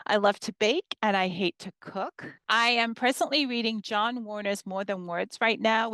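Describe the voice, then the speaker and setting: A woman in her fifties from northern England, a history professor giving a measured workshop presentation to educators and reading her slides aloud. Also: mid-range voice, a bit bright, dry recording.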